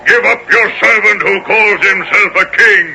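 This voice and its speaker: An actor playing a proud, cruel warlord king in a 1970s radio drama, proclaiming loudly and with menace. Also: sits high, fairly even intonation, vocal fry, full character voice. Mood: imperious threat. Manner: slow, loud proclaiming. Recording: noisy old radio broadcast recording.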